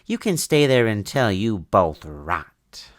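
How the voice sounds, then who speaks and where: A man's voice: fairly low pitch, big pitch swings, slow, no room sound, clean studio sound. A man voicing a haughty villain in a children's storybook, gloating and threatening. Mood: gloating menace.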